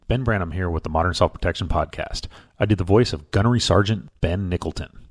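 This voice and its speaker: Very low-voiced man, podcast promo. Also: fast. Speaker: podcast host. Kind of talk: scripted promo.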